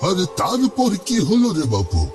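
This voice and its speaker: A man's voice: high voice, wildly swooping pitch, normal speed, pitched above the performer's own voice. A man voicing a concerned, scolding village elder in a horror cartoon. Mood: eager. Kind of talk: Bengali horror cartoon dialogue. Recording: music under the voice.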